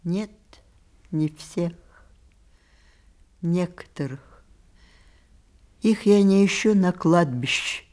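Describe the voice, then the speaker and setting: An actress playing a very old grandmother in a radio play, wise and sharp-tongued, with a measured, reflective delivery. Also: on the low side. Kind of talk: theatrical radio play acting.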